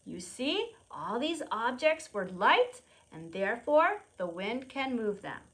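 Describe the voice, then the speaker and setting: A woman presents to preschoolers with a bright, lively voice. She takes her time, explains things in simple, repeated phrases and invites the children to take part. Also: a little high, slow, slight background noise. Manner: simple repetitive explanations with questions and prompts for small children; refers to self in third person. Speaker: preschool storytime presenter at a public library. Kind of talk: children's lesson, demonstrating to young viewers at home.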